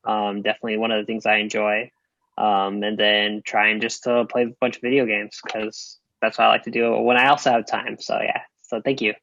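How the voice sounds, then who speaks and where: A man's voice: lowish voice, little pitch movement, a little fast, creaky, sharp tone, highs cut off, slight background noise. A man on a video call, introducing himself on a panel, hesitant with many fillers. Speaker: panelist, lab assistant. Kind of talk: casual panel talk.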